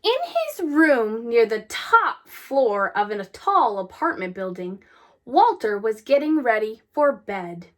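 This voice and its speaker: Casting: female picture-book narrator, high pitch, very animated intonation, expressive and deliberate. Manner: deliberate, expressive phrasing with emphasized words. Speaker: storybook reader. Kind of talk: children's read-aloud, scripted narration.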